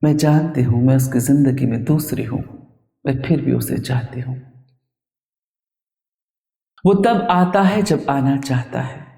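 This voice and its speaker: A man reciting his own poetry in a dramatic, emotive style, with deliberate delivery. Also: mid-range voice, gravelly, mellow. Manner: emotive, deliberate, with repeated refrains. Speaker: poet reciting own work. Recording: roomy echo, distant microphone.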